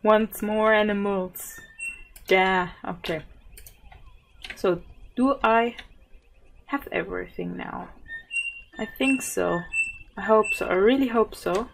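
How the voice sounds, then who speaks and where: Woman gaming host, German native, sore throat, unhurried musing delivery, faint keyboard clicks behind. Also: medium pitch, gravelly, noisy background. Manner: soft-spoken, thinks aloud with many fillers and little laughs. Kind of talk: casual gameplay commentary.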